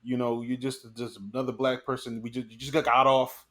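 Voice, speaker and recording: A man's voice: mid-range voice, flat delivery, a little fast, a bit bright. Earnest man in casual discussion. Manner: earnest, many restarts and "you know". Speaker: podcast co-host.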